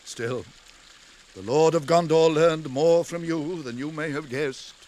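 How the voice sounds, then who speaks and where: An actor playing a wise, stern old wizard in British fantasy radio drama, with measured, weighty, archaic speech. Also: male voice, a little high, darkish tone, natural voice. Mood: kindly, cautioning.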